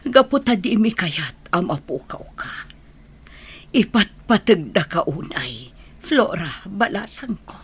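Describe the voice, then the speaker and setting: A woman performs a consoling older mother in a radio drama. Her voice is lowish and raspy, and it moves slowly with expressive rises and falls. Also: heavily acted. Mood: consoling, worried. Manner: tender, consoling. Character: caring, consoling older mother of a sick daughter. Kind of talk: radio drama acting in Ilocano.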